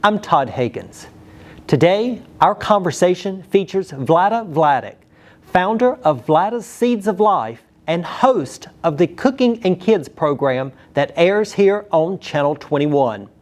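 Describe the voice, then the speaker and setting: A man's voice: high-pitched, sing-song, unhurried. Polished male broadcast interview host.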